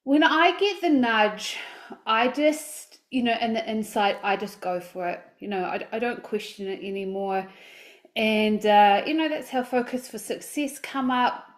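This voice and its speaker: A woman in her forties speaking in a slightly higher register, chatty and upbeat as she talks to her livestream viewers. She coaches people and rambles warmly through restarts and fillers. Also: unhurried. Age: 40s. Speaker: life coach. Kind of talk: casual livestream talk.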